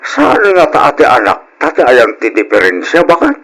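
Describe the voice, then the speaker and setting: A man's voice: fairly high pitch, huge pitch swings, moderate pace, a bit bright, heavily acted, low-quality recording. A man playing an older husband in old-style radio drama, grumbling and full of questions. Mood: probing. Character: grumbling older Ilocano husband wanting a grandchild.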